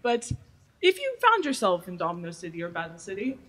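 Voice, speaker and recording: A woman's voice: mid-range voice, huge pitch swings, a little fast, crowd noise. A woman in the audience putting a fan question to a panel guest. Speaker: audience member asking a question.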